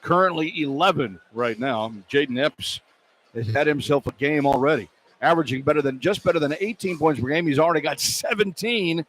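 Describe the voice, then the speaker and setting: A man's voice: fairly high pitch, brisk, a bit bright. An American man calling live basketball play-by-play and reading sponsor spots as a radio announcer. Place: broadcast position inside a large indoor arena. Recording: live arena broadcast with background noise. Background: arena ambience and noise.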